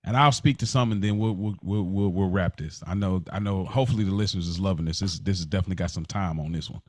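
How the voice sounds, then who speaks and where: American man, fairly deep voice, inquisitive, reflective, halting casual podcast speech, dry recording. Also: dry recording. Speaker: podcast co-host. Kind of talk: casual conversational podcast talk.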